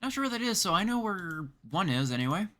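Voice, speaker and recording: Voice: medium pitch, very animated, fast, a bit bright. A streamer from Canada giving casual live video game commentary, chatting and thinking aloud in short remarks.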